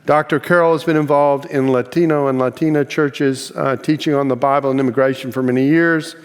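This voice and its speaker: A man's voice: medium pitch, steady tone, a little fast, clean tone, big-room sound. An older man hosting an interview, talking in a friendly, rambling way with many fillers and restarts. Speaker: interview host.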